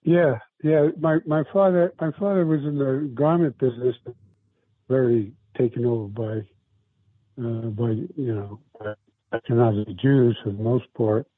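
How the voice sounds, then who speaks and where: A man, telling his life story in a rambling, halting way. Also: mid-range voice, no echo. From South Brooklyn, New York. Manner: rambling, halting, many restarts and fillers. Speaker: interview guest. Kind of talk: casual life-story interview. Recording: tinny phone-like dry sound.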